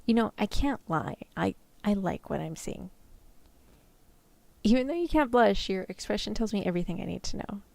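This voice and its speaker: A woman playing an affectionate, bashful sick girlfriend in an ASMR roleplay, soft-spoken and hesitant. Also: mid-range voice, lively intonation, normal speed, creaky, no echo. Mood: tender, affectionate.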